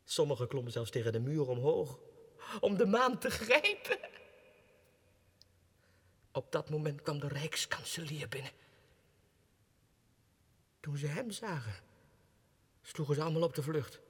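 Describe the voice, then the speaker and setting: An actor playing a deranged clerk who believes he is a king, in an erratic radio drama monologue that swings between breathy hushed confiding and agitated outbursts. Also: on the high side, lively intonation, measured pace, long pauses, heavily acted. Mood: conspiratorial, regal. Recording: reverberant 1960s radio studio recording.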